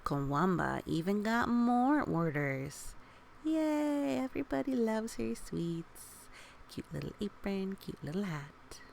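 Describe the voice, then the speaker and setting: Slow, creaky-voiced woman reading a children's story. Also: medium pitch, very animated. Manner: gentle, encouraging, talking directly to young listeners. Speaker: storybook reader. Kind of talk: read-aloud children's story with casual asides. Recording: faint background noise.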